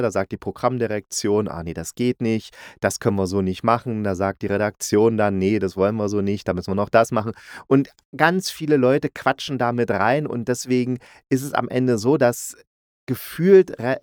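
A man with a mid-pitched voice hosts a review podcast. He talks in a casual, conversational way, using colloquial phrasing and stating his opinions plainly. The recording is dry, with little room sound. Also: a little mellow, dry recording. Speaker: podcast host reviewing audio dramas.

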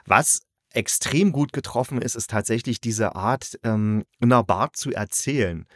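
A man's voice: mid-range voice, moderate pace, dry recording, studio quality. A man chatting casually and critically as a reviewer, often rephrasing himself mid-thought. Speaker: audio drama reviewer and host.

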